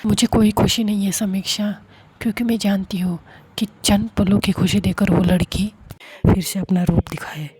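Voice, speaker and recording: Narrator voicing young woman, sharp and accusing, sarcastic edge, warm dark tone. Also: mid-range voice, steady tone, moderate pace, a little hiss or hum, natural voice. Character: sharp, suspicious young woman confronting a friend.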